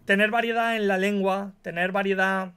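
A young man sets out his view firmly, stacking up one point after another. His voice sits on the high side for a man. He talks into a headset from a dim room at home. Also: flat delivery, normal speed, bright, forceful tone, clear, resonant tone. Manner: emphatic, opinionated, building his case point by point. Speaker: co-host. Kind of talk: casual streamed chat.

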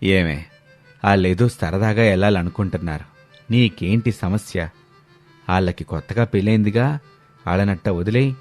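A man's voice: deep voice, normal speed, pauses often, darkish tone, faint music behind, voice pushed down, lightly acted. A man voicing a grumbling, exasperated older Telugu husband and father. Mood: irritated. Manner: grumbling, weary.

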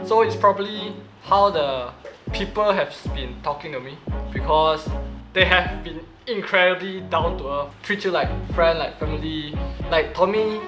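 A man's voice: fairly high pitch, moderate pace. A man in his twenties with a Malaysian English accent, a team captain chatting playfully and laughing easily in an interview. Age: 20s. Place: living room with sofas, indoors. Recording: roomy room with background music.

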